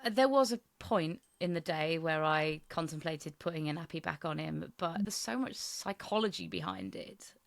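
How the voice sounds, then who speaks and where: A woman's voice: low-pitched, very animated, normal speed, vocal fry, hardly any pauses, dry recording. A woman with a British accent co-hosting a podcast in casual chat, wry and self-deprecating. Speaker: podcast co-host.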